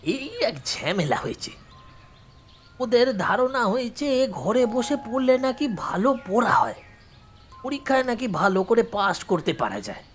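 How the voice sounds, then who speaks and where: A woman voicing a bossy, talkative caretaker man with a husky, emphatic delivery in an audio story drama. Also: on the high side, big pitch swings, a little slow, slight background noise, voice pushed down. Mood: insistent. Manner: commanding, scolding, emphatic.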